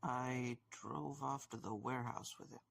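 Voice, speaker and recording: A man playing a weary, patient young night guard in an audio drama, gravelly and a little hesitant. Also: mid-range voice, fairly even intonation, measured pace, a bit bright, no room sound. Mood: placating. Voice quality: gravelly, husky.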